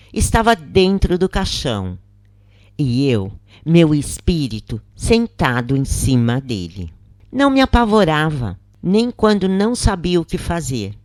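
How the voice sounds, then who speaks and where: A narrator reading a scripted first-person account in measured, deliberate phrases with pauses. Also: medium pitch, darkish tone, dry recording, studio quality.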